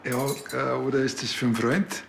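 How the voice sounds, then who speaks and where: A man's voice: medium pitch, flat delivery, a little fast, vocal fry, lightly acted. An actor playing a chatty, awkward rural policeman with a Bavarian accent and a rambling, earnest manner. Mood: awkward, flirtatious. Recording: loud vehicle and ambience noise.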